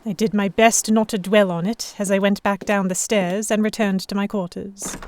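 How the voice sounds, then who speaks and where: A sharp-tongued heroine voiced by a woman narrates a pirate audio drama in the first person. Her pitch is mid-range and the sound is dry, with no room around it. She comes across as stubborn and proud. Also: no room sound, natural voice.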